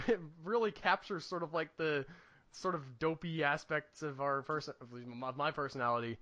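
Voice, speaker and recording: A man's voice: on the high side, moderate pace, sharp tone, dry recording, highs cut off. A man in his late twenties chatting casually as a podcast co-host, with a joking, self-deprecating manner. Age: late 20s.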